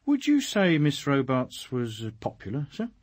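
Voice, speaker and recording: An actor playing a dogged chief inspector in a radio drama, polite but probing. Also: male voice, mid-range voice, wildly swooping pitch, normal speed, a little mellow, husky. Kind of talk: British radio drama acting.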